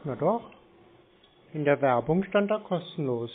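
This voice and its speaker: A man's voice: a little high, lively intonation, measured pace. A man answering a sales call as a prank, playing naive and contrary. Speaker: prank caller stringing along phone scammers. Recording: noisy, tinny phone line.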